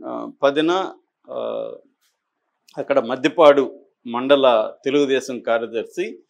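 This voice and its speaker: A man with a Telugu accent giving conversational political commentary as an analyst, explaining in a halting way and mixing in English words. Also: medium pitch, gravelly. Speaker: news analyst.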